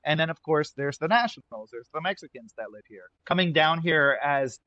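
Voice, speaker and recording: An American man around fifty, a restaurant owner talking about his life in a relaxed, rambling way with lots of "like" and restarts. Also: a little high, moderate pace, no room sound, highs cut off. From United States. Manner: easygoing, lots of likes and restarts. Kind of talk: casual interview conversation.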